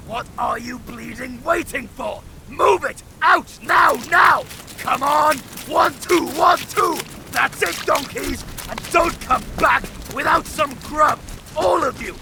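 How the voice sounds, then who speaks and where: An actor playing an arrogant, short-tempered veteran knight captain, barking scornfully and sarcastically at soldiers in a fantasy audio drama. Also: male voice, extremely high pitch, vocal fry, background noise, exaggerated voice. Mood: furious, bellowing.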